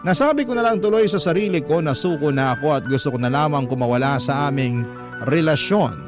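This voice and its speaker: A man's voice: a little high, brisk, lightly acted. Actor as disheartened Filipino man narrating over music. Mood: frustrated. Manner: confiding, resigned. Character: disheartened man recounting his troubled relationship. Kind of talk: first-person dramatic narration for radio.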